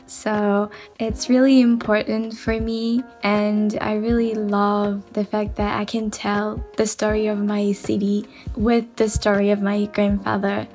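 A woman's voice: medium pitch, dark tone. A woman from France, calling in with an earnest, careful message. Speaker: listener calling in.

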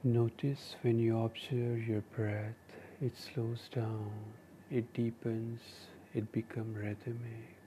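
Indian guy doing guided meditation, flat mellow voice, short calm instructions w pauses, bit of background noise. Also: medium pitch. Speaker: meditation teacher and podcast host.

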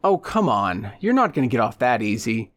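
A man's voice: medium pitch, very animated, normal speed, a bit bright. A man voicing a falsely jovial, pushy man in an audiobook, with exaggerated chummy enthusiasm. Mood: pushy, coaxing.

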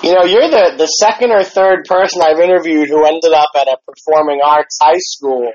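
A man who used to act, hosting an interview in a relaxed, halting way with lots of fillers. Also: on the high side, no echo. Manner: relaxed, hesitant, lots of ums and you knows. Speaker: podcast interviewer, former actor. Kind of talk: casual interview chat. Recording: dry, slightly dull podcast audio.

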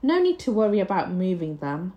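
A woman's voice: on the low side, very animated, brisk, dull audio. A woman voicing a malicious, triumphant housekeeper in a storybook, with a scornful, threatening manner. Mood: gloating.

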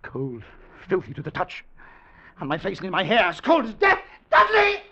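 An actor playing an earnest male time traveller in an old radio drama, speaking dramatically and deliberately in clear words. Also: high-pitched, huge pitch swings, bright, forceful tone, full character voice. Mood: horrified. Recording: muffled 1950 radio recording, music behind.

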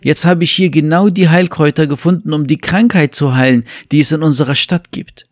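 A man's voice: fairly high pitch, fairly quick, dry recording, clean studio sound, voice pushed up, close to an everyday voice. A man voicing a king's earnest young son in a fable, speaking eagerly. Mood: happy, eager.

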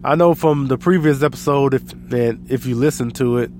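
A man's voice: mid-range voice, normal speed, a little mellow, slight background noise. An American man with a stuffy, allergy-congested nose hosts a podcast in a casual, rambling, self-deprecating way. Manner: self-deprecating, chuckles at himself. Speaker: podcast host.

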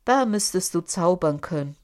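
A woman's voice: fairly low pitch, animated, moderate pace, a little mellow, lightly acted. A woman voicing a defiant, sly young man in an audiobook, speaking boldly and accusingly in a raised voice. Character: young male charcoal burner, defiant and sly. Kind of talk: audiobook character voice.

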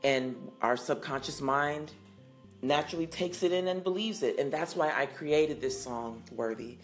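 A woman in her forties hosting her own podcast, answering listener messages in an earnest, unhurried, affirming way with a low, husky morning voice. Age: 40s. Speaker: singer-songwriter hosting a call-in podcast.

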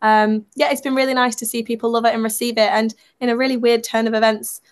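A young woman chatting as a guest on a podcast, warm-hearted and quick to laugh at herself. Her voice sits a little high and is slightly croaky from a sore throat. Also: level tone. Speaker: singer-songwriter guest. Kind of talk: casual interview chat.

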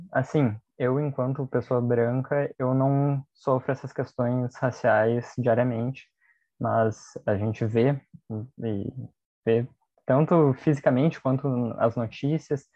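Man, podcast guest, measured, thoughtful, dry close sound, slightly muffled. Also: medium pitch, mellow, no room sound. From Rio Grande do Sul, Brazil. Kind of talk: casual podcast conversation. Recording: dry, slightly dull audio.

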